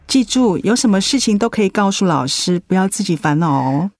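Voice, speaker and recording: An actress plays a caring female homeroom teacher in a radio drama. She has a mid-pitched voice, a Taiwanese Mandarin accent and a patient, coaxing way of talking. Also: animated, fairly quick, no room sound, lightly acted. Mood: gentle concern. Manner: gentle, coaxing.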